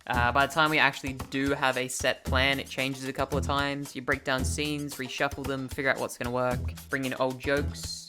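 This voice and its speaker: Very deep-voiced young woman, joking, music behind. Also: little pitch movement, brisk, few pauses. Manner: joking, irreverent. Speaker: online series co-creator and voice actor. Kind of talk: casual Q&A chat with co-creator. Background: background music.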